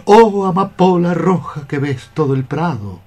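A man's voice: fairly high pitch, unhurried, mellow. A man playing a young lovesick cockroach, declaiming lyrical verse in a radio play. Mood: lovesick melancholy. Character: young lovesick male cockroach poet, melancholy and tender. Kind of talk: poetic radio theatre acting.

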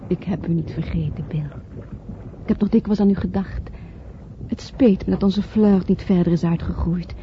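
An actress playing a flirtatious married woman in a radio play, expressive and coaxing. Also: lowish voice, normal speed, lightly acted. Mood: intimate, seductive. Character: flirtatious married woman fleeing London, pleading then seductive. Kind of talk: Dutch radio play acting. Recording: dull, noisy old radio play recording.

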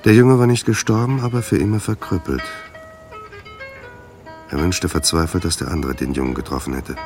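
An actor performs a male narrator of a detective radio drama. His voice is exceptionally deep, and his delivery is sombre and matter-of-fact, with deliberate phrasing. A guitar plays faintly underneath. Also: lightly acted.

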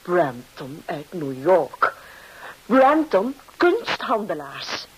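An actress playing a sensitive, proud young English art dealer, polite and emotional, in a radio drama. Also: female voice, lowish voice, sing-song, very slow, breathy edge, heavily acted, low-quality recording. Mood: accusing, hurt. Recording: hissy old radio drama recording.

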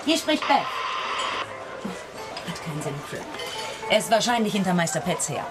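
An actress playing a brisk, dry, practical small-town café waitress in a German radio crime drama. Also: female voice, fairly low pitch, sing-song, loud music behind the voice, rough recording.

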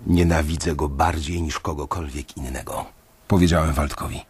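An actor narrating a radio drama in the first person as a disillusioned young male bank analyst, telling the story in a measured, reflective way. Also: very deep voice, steady tone, breathy edge, heavily acted.

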